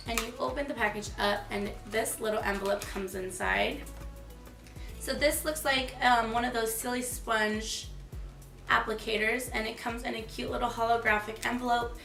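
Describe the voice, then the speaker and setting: A young woman with a fairly high, hoarse voice gushes about makeup, with plenty of fillers. She sounds set back from the camera mic. The small room adds a noticeable echo. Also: fairly even intonation, normal speed, a bit bright, distant mic, soft background music. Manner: chatty and enthusiastic, many fillers. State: hoarse, losing her voice. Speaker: beauty vlogger. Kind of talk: casual product review. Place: small room, plain wall. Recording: echoey room, slightly off-mic.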